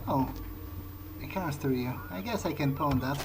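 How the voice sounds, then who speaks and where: A man speaking in character as a vampire in a game playthrough, thinking aloud in a halting, reflective way. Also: on the high side, background noise. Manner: halting, musing to himself. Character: male vampire player character, reflective, morally uneasy. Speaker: gameplay video host speaking in character.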